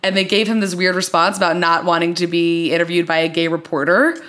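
A woman with a slightly low voice hosts a casual podcast. She talks in an emphatic, conversational way, like chatting with a friend. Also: flat delivery, brisk, a bit bright. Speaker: podcast host.

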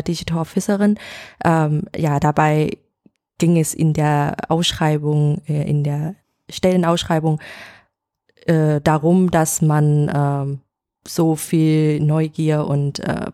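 A woman's voice: deep voice, mellow, dry recording, clean studio sound. A woman in her twenties from Vietnam, speaking German, introducing herself hesitantly with many fillers. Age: 20s. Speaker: guest, editor.

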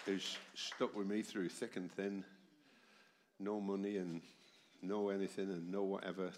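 A very deep-voiced man in his seventies preaches into a microphone in a church hall, his voice worn by a summer cold. He speaks emphatically and keeps turning to the congregation with rhetorical questions. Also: little pitch movement, a little slow, darkish tone. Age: 70s. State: tired voice from a heavy cold. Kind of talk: sermon. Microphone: amplified microphone.

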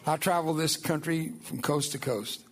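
An older man gives a testimony to a church congregation, his first language Spanish. He tells his story in a folksy, emphatic way, with a voice set somewhat high for a man. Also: normal speed, fairly bright tone. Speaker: guest speaker.

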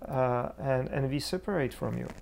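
A man's voice: mid-range voice, moderate pace, creaky, darkish tone. A man with a Czech accent speaking as a preacher in a reflective theological discussion, searching for words as he goes. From Czech Republic. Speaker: preacher, interview guest.

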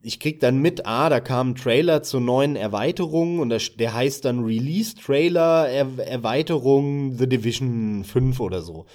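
A German-speaking man co-hosting a podcast, chatting about gaming websites in a relaxed, casual way with a few filler sounds. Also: mid-range voice, normal speed, few pauses. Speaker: podcast co-host.

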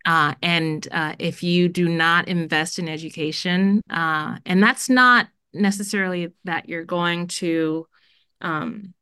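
A woman's voice: lowish voice, takes it slowly, sharp tone, clean tone, no echo, studio quality. A middle-aged woman from Jamaica, a school dean, speaking earnestly in a podcast interview with many fillers.

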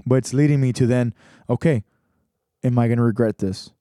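Young man, podcast host, casual reflective talk, rambling restarts, mellow tone, dry close sound. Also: medium pitch, fairly quick, no room sound. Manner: rambling, many restarts and fillers.

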